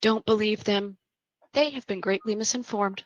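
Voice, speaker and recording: A woman's voice: medium pitch, moderate pace, no echo, muffled recording, lightly acted. A woman acting a talkative, teasing young psychiatric patient in a screenplay table read. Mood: breezy, teasing. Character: chatty, flippant young female psychiatric patient.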